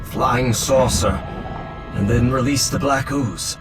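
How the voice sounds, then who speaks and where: An actor playing an earnest, wary middle-aged professor in a cinematic adventure game. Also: male voice, mid-range voice, slow pace, lightly acted. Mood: intent, wary. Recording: music under the voice.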